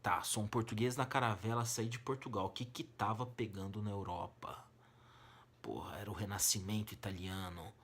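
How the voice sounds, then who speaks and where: A man's voice: fairly low pitch, fairly even intonation, normal speed. A man with a Brazilian accent giving an informal lesson to students, speaking emphatically and colloquially, with rhetorical questions. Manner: emphatic, colloquial, rhetorical questions, swinging between stressed and hushed phrases. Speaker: humanities teacher and exam-prep host. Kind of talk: informal educational monologue to students.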